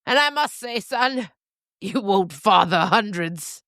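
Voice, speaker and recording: A woman's voice: mid-range voice, lively intonation, slow, fairly bright tone, no room sound, clean studio sound, pitched above the performer's own voice. A woman voicing a drunk, haughty matriarch nanny goat passing boastful judgement in a comic audio story. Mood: haughty, appraising.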